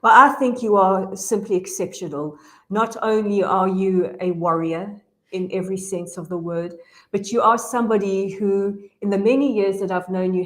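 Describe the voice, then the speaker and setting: A middle-aged woman hosting a radio interview show from a studio, effusive and enthusiastic in introducing guests, on a studio microphone. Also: mid-range voice. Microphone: studio mic with headphones.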